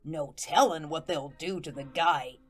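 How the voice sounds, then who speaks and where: A woman playing a plainspoken, vengeful ghost who tells his tale in a folksy, colloquial way in an audio drama. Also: sits low. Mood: cold, vengeful. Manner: folksy, colloquial, deliberate storytelling. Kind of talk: first-person audio drama narration.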